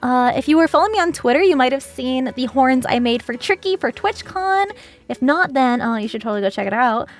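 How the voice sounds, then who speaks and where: A woman's voice: high-pitched, expressive, brisk. A young woman streaming casually while she crafts, chatty and giggly, with lots of "like" and "um.". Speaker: streamer, cosplayer.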